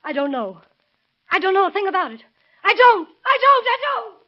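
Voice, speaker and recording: An actress playing a distraught, defiant middle-aged farm wife, pleading and vehement, in a 1930s radio melodrama. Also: female voice, extremely high pitch, big pitch swings, moderate pace, soft background music. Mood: panicked denial. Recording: dull, muffled vintage radio recording.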